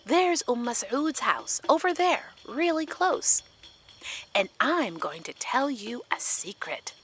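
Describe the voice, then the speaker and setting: A woman voicing a tired, sly crow in a folktale, with a sing-song, drawn-out delivery. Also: a little high, sing-song, fairly bright tone, soft background music, pitched above the performer's own voice, heavily acted. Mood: sly, secretive. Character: tired, hurt crow, singing, slyly vengeful.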